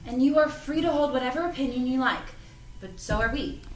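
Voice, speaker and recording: A woman's voice: high-pitched, animated, a little fast, recorded from a distance. A woman playing a polite, uneasy young shop worker in an audio drama table read, courteous and hesitant. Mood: polite, firm. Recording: echoey room, off-mic, music behind.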